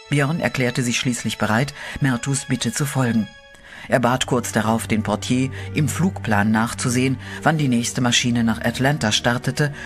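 A man giving scripted third-person narration in an even, storytelling way for a horror radio play. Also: medium pitch. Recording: music bed under the voice.

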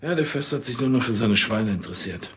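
A man's voice: medium pitch, animated, fast talker, fairly bright tone, slightly rough. An actor with a Bavarian accent playing a grumbling, sceptical police officer who tags questions onto his statements. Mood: deliberating. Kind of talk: naturalistic TV drama acting.

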